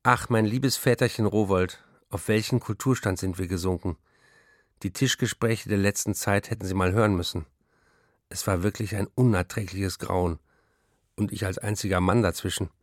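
Man doing a bitter tired writer, low voice, dry close sound, radio play. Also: steady tone, moderate pace, dry recording, lightly acted. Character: weary embittered male writer reading his diary and letters.

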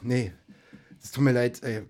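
An actor in a naturalistic radio play, playing a shy, awkward, soft-hearted village farmer who speaks hesitantly and solicitously. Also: male voice, mid-range voice, fairly even intonation, measured pace, close to an everyday voice. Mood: awkward, friendly.

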